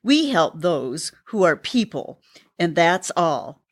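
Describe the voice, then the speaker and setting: A woman's voice: on the low side, very animated, takes it slowly, full character voice. A woman playing a self-righteous, naively zealous charity kettle keeper in a satirical radio drama, earnest and sanctimonious.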